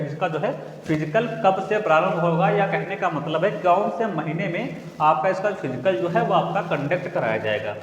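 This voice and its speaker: Echoey, high-voiced Hindi exam tutor. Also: male voice, a little hiss or hum. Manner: repeats points as questions to the class. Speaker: exam-prep teacher. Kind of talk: repetitive classroom-style explanation. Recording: echoey room with long reverb, faint ambience.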